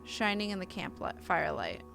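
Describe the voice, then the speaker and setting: A woman's voice: on the low side, fairly quick, creaky. A woman live-streaming a game, reading story text aloud expressively and chatting casually in between. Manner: expressive reading mixed with chatty, self-interrupting reactions. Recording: music behind the voice. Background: game music.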